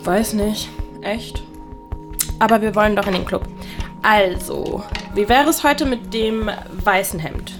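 Actress as young woman, mid-pitched, caring, upbeat, coaxing, casual audio drama dialogue. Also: a little slow, music in the background, lightly acted. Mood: upbeat, persuasive.

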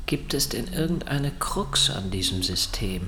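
A man's voice: mid-range voice, animated, unhurried, lightly acted. Coolly probing masked kidnapper played by a man. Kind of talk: German radio drama acting.

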